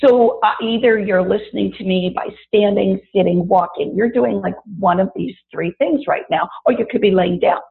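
Raspy-voiced woman leading a body talk. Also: mid-range voice, normal speed, hardly any pauses. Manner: warm, chatty, addresses listeners directly. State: raspy voice after illness. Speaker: wellness teacher. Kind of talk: guided body-awareness talk. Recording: tinny phone-like audio.

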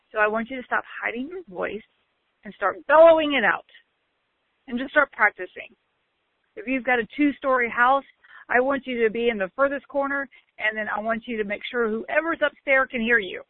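A woman's voice: fairly high pitch, no room sound. Emphatic woman on a tinny line. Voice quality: loud, bellowing voice. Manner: emphatic, drawn-out stresses. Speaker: motivational speaker. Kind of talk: motivational talk. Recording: tinny phone-like line, dry.

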